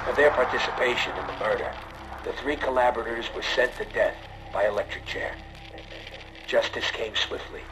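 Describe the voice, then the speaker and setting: Actor as grave former prison guard over loud music. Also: male voice, fairly low pitch, close to an everyday voice, poor audio. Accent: American. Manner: measured, grave storytelling. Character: former Alcatraz prison guard solemnly recounting a dark tale. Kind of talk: scripted dramatic narration in a game audio log. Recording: game audio log over loud music.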